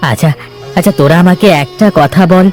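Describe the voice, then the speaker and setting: Male actor as a poor cartoon boatman, devoted father, earnest and emotional, fairly high male voice, faint music behind. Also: a little fast, darkish tone, close to an everyday voice. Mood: confessing, tender. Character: humble, caring boatman, adoptive father of two girls. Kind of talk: Bengali animated moral story dubbing.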